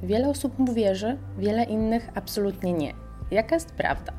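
Mellow-voiced woman radio host. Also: on the high side, faint music behind. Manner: reads from a script at an even, measured pace. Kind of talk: scripted radio podcast review.

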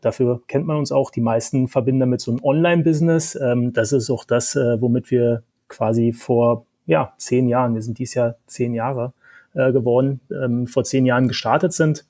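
A man with a Berlin accent talks through his career in a relaxed podcast chat. His voice is dark and mellow, with a slightly husky edge. He pauses often and leans on fillers as he thinks out loud. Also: mid-range voice. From Berlin, Germany. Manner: hesitant, many fillers. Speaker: podcast guest, tech executive. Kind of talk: casual podcast conversation.

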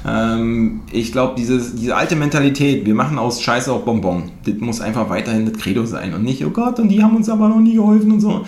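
A man's voice: mid-range voice, a little fast, hardly any pauses, darkish tone. Chatty man from eastern Germany. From Mecklenburg-Western Pomerania, eastern Germany. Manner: chatty, many fillers and tag questions. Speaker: podcast host. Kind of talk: casual conversation. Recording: roomy with faint noise.

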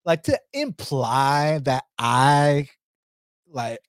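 A man's voice: fairly high pitch, sing-song, extremely slow, dry recording, clean studio sound. A young American man giving casual, profane fight-pick commentary, talking straight to his viewers. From United States. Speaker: MMA fight picks commentator.

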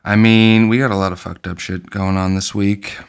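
Low, smooth-voiced joking man hosting a podcast. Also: flat delivery, normal speed. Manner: loose, joking, swears freely. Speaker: podcast host. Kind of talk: casual chat about movies.

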